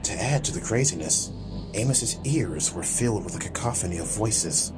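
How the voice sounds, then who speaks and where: Deep male audiobook narrator over music. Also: lively intonation, normal speed. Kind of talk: dramatic scripted fantasy narration.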